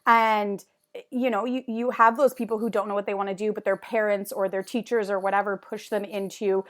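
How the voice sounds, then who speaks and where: A woman's voice: mid-range voice, level tone, fairly quick. A woman in her thirties whose first language is French, talking casually as a podcast guest in a rambling, bubbly way full of "like". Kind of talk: casual interview chat.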